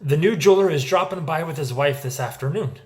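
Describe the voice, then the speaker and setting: A man's voice: on the high side, a little fast, a little mellow, lightly acted. An actor playing an earnest, anxious, loyal married man who is eager to please, in a musical theatre table read. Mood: evasive, placating. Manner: earnest, placating.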